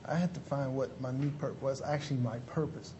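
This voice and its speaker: A man's voice: mid-range voice, steady tone, a little fast, noisy background. A man in his thirties from Mexico, a motivational speaker, answers earnestly and politely with many fillers. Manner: earnest, lots of "you know" fillers, polite "yes sir". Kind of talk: interview answers.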